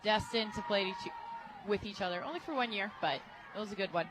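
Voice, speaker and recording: An American woman giving conversational color commentary on a softball radio broadcast, as an analyst. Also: medium pitch, fairly quick, crowd noise. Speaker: color analyst, former player. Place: stadium radio booth, open to outdoor noise. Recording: clear outdoor ballpark noise.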